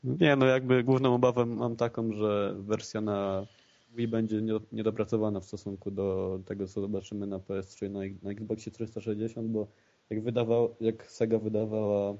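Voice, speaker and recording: Guy hosting a gaming podcast, lowish flat voice, bantering, lo-fi audio. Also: normal speed. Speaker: podcast host. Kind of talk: casual video game podcast talk. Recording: dull lo-fi voice recording.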